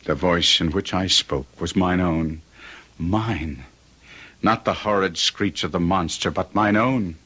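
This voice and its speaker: An actor playing a tormented young gentleman in an old-time radio drama, with intense, theatrical and formal archaic delivery. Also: low-pitched, takes it slowly, breathy edge, full character voice. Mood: solemn, haunted. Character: Guido, tormented young gentleman recounting a duel with a monster.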